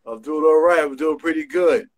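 A man's voice: fairly high pitch, moderate pace. A middle-aged man with a New York area accent, a designer talking as a guest on a phone video call, using street slang and repeating himself emphatically. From New Jersey, USA. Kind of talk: casual interview on a video call. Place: small room indoors. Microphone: phone front camera.

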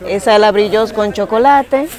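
A woman's voice: mid-range voice, moderate pace, darkish tone. A woman vendor casually presenting her baked goods at an outdoor market. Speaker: bakery vendor. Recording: outdoor market chatter behind.

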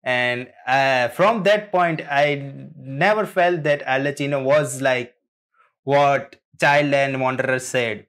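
A man hosting a reaction video, giving rambling, halting, casual commentary as he theorizes like a fan. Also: mid-range voice, a bit bright. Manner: rambling, halting, chuckling often.

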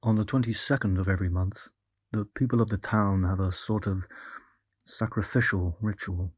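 A man acts as a grave investigator of strange mysteries in an audio drama. His low voice has a rough husk, recorded dry and close, and he speaks as one giving warnings. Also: steady tone, moderate pace, no echo. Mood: knowing. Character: grave, cautioning man who studies the town's mysteries.

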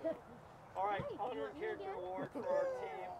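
A man's voice: extremely high pitch, huge pitch swings, a little fast, gravelly. A man coaching youth football, speaking encouragingly and informally to his young players outdoors. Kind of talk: coaching calls and informal award speech. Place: outdoors on a sports field at night. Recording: outdoor field with loud crowd.

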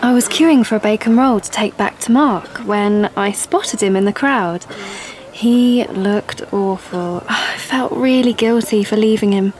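A woman's voice: mid-range voice, a little slow, a little mellow, slight background noise, close to an everyday voice. A woman playing an assertive, persuasive young woman in an audio play, with an insistent, explanatory way of talking. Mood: confiding. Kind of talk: audio play monologue and dialogue.

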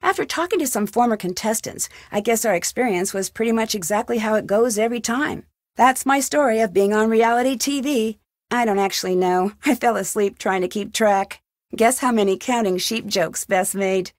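An actress playing a young amateur detective in a video game, upbeat, caring and wry, with a friendly, lightly teasing delivery. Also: female voice, a little high, normal speed, no echo, clean studio sound, natural voice. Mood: narrating, upbeat. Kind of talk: scripted video game voice acting.

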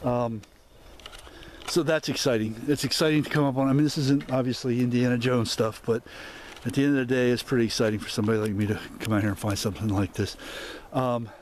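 A man's voice: medium pitch, fairly even intonation, fast talker, darkish tone, a little hiss or hum. Rambling man filming in the woods. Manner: rambling, many fillers and restarts. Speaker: explorer vlogger. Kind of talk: walk-and-talk vlog narration. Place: dense overgrown woods outdoors. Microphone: handheld camera mic while walking.

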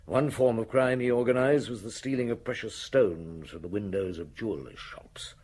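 An actor playing a weary, shrewd senior police inspector, gruff and commanding, in a radio drama. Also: male voice, on the low side, normal speed, darkish tone. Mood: accusing, explanatory.

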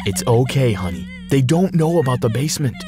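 A man's voice: medium pitch, very animated, brisk, music in the background, natural voice. Man acting a paranoid father, urgent and raised. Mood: reassuring. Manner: urgent, dramatic. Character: paranoid adoptive father, frantic and fearful husband.

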